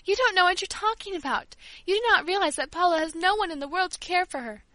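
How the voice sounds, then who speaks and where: A woman voicing an excitable, eager little girl. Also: sits high, fairly quick, no room sound. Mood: excited. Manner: eager, breathless, pleading. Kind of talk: audiobook character voice.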